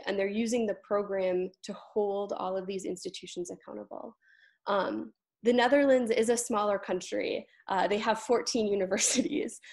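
Young woman explaining on a panel call. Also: mid-range voice. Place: indoor room on a video call. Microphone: video call.

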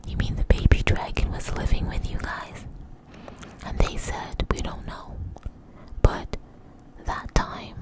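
A woman speaks in a breathy whisper, right up close to the microphone, as an ASMR host. She tells a personal story without hurry, pausing and repeating phrases as she goes. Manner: unhurried, halting, repeats phrases.

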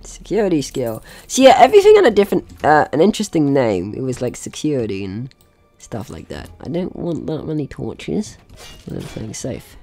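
A man's voice: mid-range voice, huge pitch swings, normal speed, a little mellow. A man giving casual gameplay commentary, chatting through his own actions in short remarks. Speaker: gaming video host.

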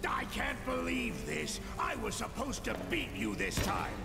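An actor playing a pompous, grandiose middle-aged mad scientist villain in a video game, florid and theatrical. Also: male voice, very high-pitched, wildly swooping pitch, unhurried, vocal fry, loud music behind the voice, exaggerated voice. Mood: sulky. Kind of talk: video game cutscene acting.

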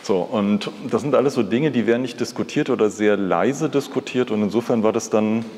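A man's voice: lowish voice, fairly quick, a little mellow. A man in his fifties from East Germany, telling personal stories conversationally as a guest in a talk. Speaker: interview guest, art patron. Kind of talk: reflective personal storytelling in a live talk. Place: large glass-fronted indoor hall. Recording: reverberant hall.

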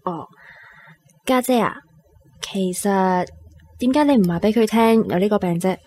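An actress playing a caring younger sister in a radio drama, gentle and concerned in her way of talking. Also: medium pitch, moderate pace, breathy edge, natural voice. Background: music.

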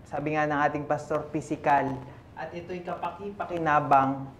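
A young man, a church member guest on a talk show, answering earnestly with frequent "ah" pauses. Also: fairly high pitch, fairly even intonation, a little hiss or hum. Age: young adult. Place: small TV studio set. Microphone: lapel mic. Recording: roomy studio sound.

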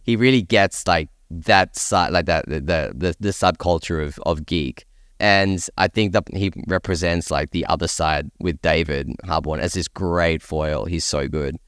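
A man's voice: sits low, measured pace, fairly bright tone, smooth, no echo, studio quality. A man talking enthusiastically and emphatically as a panelist in a casual discussion. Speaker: podcast panelist discussing films and games.

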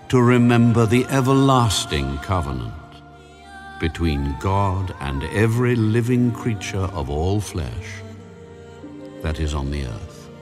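An actor playing God in a dramatized scripture reading, speaking in slow, measured, grave pronouncements. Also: male voice, sits low. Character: God, solemn commanding male deity. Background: soft music.

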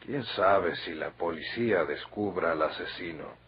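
An actor playing a grave, steady confidant with a Mexican accent in an old radio drama. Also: male voice, low-pitched, measured pace, husky, full character voice. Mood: urgent, calming. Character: grave, steady older male confidant bringing bad news. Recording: muffled old radio recording.

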